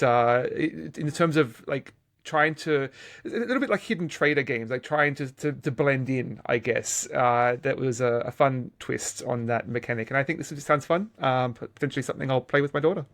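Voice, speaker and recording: A middle-aged man chatting casually as a podcast host, explaining things in a halting way and repeating words as he goes. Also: medium pitch, fairly bright tone. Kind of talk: casual gaming podcast chat.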